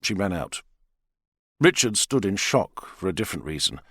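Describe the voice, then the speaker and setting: A man narrating a thriller novel as an expressive, theatrical audiobook reader. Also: low voice, moderate pace, breathy edge, no room sound.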